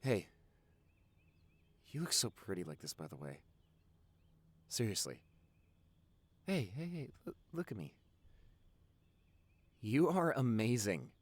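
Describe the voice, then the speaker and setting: A man's voice: fairly low pitch, very animated, takes it slowly, long pauses, no echo, exaggerated voice. A man playing a doting, teasing young boyfriend in an intimate audio roleplay, rambling affectionately and stammering a little. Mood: adoring, gushing.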